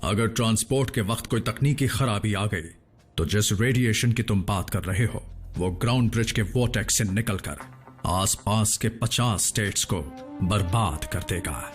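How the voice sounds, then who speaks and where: A man voicing a noble, calm giant robot leader in an animated series dub, speaking in a measured, formal way. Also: on the low side, faint music behind, close to an everyday voice. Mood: grave.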